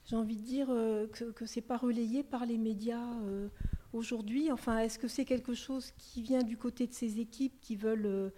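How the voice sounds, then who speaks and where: A woman's voice: a little high, steady tone, normal speed, mellow, a little hiss or hum. A woman in the audience putting a question to the author, tentative and searching for her words. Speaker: audience member asking a question. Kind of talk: question from the floor.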